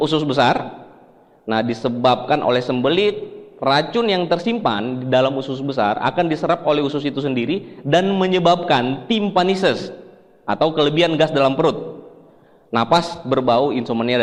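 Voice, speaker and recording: A man from Sulawesi, Indonesia, giving a health seminar in an explanatory, conversational way, putting questions to his listeners. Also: a little high. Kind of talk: presentation to an audience. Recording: echoey hall with long reverb.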